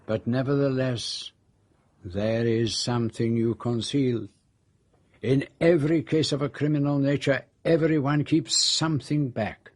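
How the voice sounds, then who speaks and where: An actor in a radio drama playing a shrewd, courteous older Belgian detective, deliberate and precise, with a Belgian French accent. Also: male voice, mid-range voice, steady tone, natural voice. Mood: gently accusing. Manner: deliberate, precise, gently insistent.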